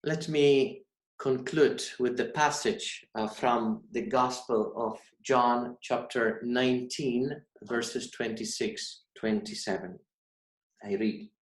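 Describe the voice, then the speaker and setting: Slow, earnest Polish-accented priest. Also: male voice, medium pitch, fairly even intonation. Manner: slow, earnest, short halting phrases. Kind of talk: devotional reflection talk. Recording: roomy echoey recording.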